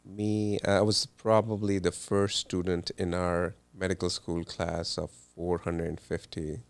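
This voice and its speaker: Very low gravelly man, halting speech. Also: flat delivery. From Pakistan. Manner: hesitant, many ums and uhs. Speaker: cardiologist guest. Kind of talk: relaxed interview answers.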